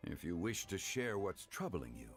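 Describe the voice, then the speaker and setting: A composed and dignified game character who speaks in a low, unhurried voice with old-fashioned courtesy. His pitch rises and falls expressively, and game music plays behind him. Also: sing-song. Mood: courteous. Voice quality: deep, measured, formal and courteous. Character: calm dignified man, courteous elder scholar. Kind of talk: game voice acting.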